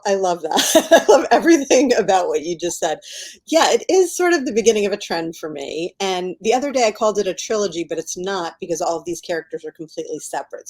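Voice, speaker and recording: A woman's voice: medium pitch, darkish tone. A middle-aged woman giving casual, enthusiastic and effusive answers as an author being interviewed. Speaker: author guest.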